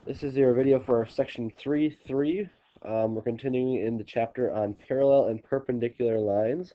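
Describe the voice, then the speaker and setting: A man's voice: mid-range voice, steady tone, normal speed. A man with a stuffy, congested nose, teaching a geometry lesson on video and explaining step by step with frequent ums and restarts. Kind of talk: recorded classroom video lesson. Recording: slightly dull lo-fi recording.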